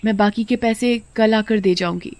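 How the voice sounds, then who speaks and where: A woman's voice: fairly high pitch, fairly even intonation, moderate pace, darkish tone, no room sound, close to an everyday voice. A woman voicing a poor, anxious young housemaid and mother in a moral story, pleading humbly. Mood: humble pleading. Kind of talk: Hindi moral-story character acting.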